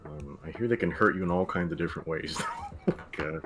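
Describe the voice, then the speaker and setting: A man's voice: deep voice, fairly quick, background noise. A man streaming a casual hobby session, talking loosely to his chat with lots of fillers. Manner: laid-back, rambling with many fillers.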